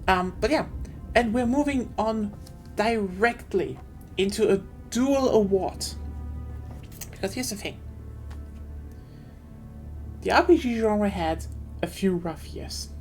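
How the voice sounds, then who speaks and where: A woman's voice: mid-range voice, a little mellow. A woman hosting an award livestream, talking in an informal and opinionated way. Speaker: award show host. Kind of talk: casual livestream commentary. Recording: faint music behind the voice. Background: faint music.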